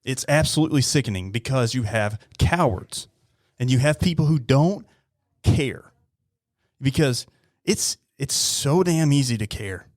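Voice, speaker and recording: A man's voice: mid-range voice, lively intonation, no echo. Guy in his 30s, blunt podcast talk, stop and start, really dry sound. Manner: halting, repeats words, blunt. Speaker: podcast host, police officer. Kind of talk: heartfelt interview talk.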